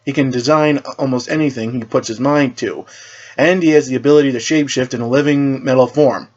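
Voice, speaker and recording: A man voicing an assured, cryptic leader of a superhero team, speaking in a measured, formal way. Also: mid-range voice, steady tone, darkish tone, lo-fi sound, natural voice.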